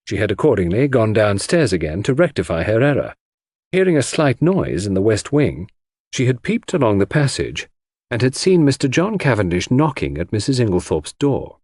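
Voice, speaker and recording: A man narrates a detective novel in a measured, dramatic storytelling manner. His voice is husky and moves freely up and down in pitch, recorded very dry and close to silent surroundings. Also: sing-song, darkish tone, no echo. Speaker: audiobook narrator.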